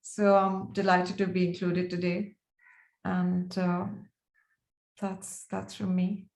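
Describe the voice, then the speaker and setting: A woman giving informal remarks as a panel speaker on a video call from an echoey room, haltingly repeating and rephrasing as she goes. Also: on the low side, completely flat delivery, creaky, darkish tone. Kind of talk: informal remarks at an online roundtable.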